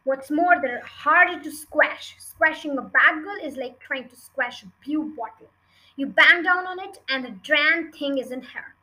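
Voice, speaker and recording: A domineering headmistress, acted out by a woman reader for a children's storybook. She barks her scorn in a high, forceful voice and brushes aside anyone who argues. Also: measured pace, full character voice, poor audio. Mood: scornful. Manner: barking, snorting, contemptuous, loud. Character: bullying, scornful adult headmistress, giant and bellowing.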